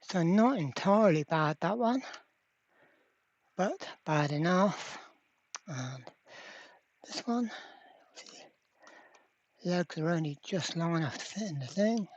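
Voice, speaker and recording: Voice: mid-range voice, lively intonation, slow pace, long pauses, lo-fi sound. A person narrating a hands-on repair at a workbench in a casual way, muttering to themselves. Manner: mutters to self, mild swearing. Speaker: electronics repairer presenting a workbench video. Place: indoor workbench.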